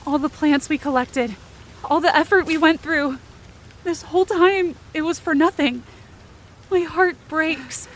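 A woman performing a frightened, determined young woman who narrates her own survival story in intense, emotive, deliberate short phrases. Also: high-pitched. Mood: heartbroken. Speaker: writer and performer of a fiction podcast. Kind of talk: dramatic first-person scripted narration.

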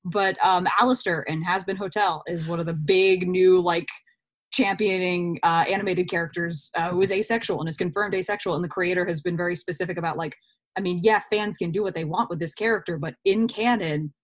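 A woman with a mid-pitched voice talks in a relaxed, conversational interview style. She slips into little imagined quotes as she explains her points. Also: brisk. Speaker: interview participant, actor.

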